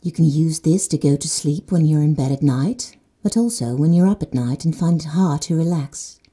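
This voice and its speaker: A woman leads a guided sleep meditation in a slow, soothing manner. She often drops to soft whispers. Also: sits low, slightly rough. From Netherlands.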